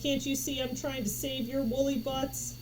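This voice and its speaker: A woman's voice: high voice, flat delivery, moderate pace, pitched above the performer's own voice, lightly acted. Woman voicing a fierce, defiant storybook sword girl. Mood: taunting, bellowing. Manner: bold, taunting. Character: fierce reckless sword-fighting girl game character, defiant and gleeful. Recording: noticeable background noise.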